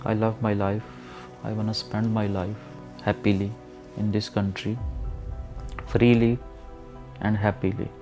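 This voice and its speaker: A man, speaking hesitantly about his own life with many pauses. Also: on the low side, flat delivery, faint music behind. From Pakistan. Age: 30s. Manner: hesitant, soft-spoken, many fillers. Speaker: documentary interviewee. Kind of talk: personal testimony.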